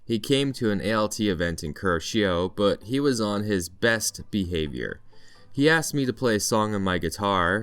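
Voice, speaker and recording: A man's voice: low-pitched, fairly bright tone, clear, resonant tone. A Canadian man narrating a scripted vlog in a playful storytelling way with mock-dramatic flourishes. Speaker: vlog narrator, teacher in Japan.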